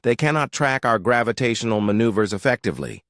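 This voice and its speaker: A man's voice: mid-range voice, fast talker, a bit bright, no echo, clean studio sound, natural voice. A man voicing a composed female science officer in a sci-fi story, reporting analytically and formally.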